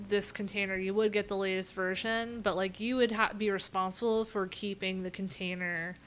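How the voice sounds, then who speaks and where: A woman's voice: mid-range voice, fairly even intonation, moderate pace, a little hiss or hum. A woman live streaming, chatting informally and thinking aloud in a rambling, unfiltered way. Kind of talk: casual live tech stream commentary.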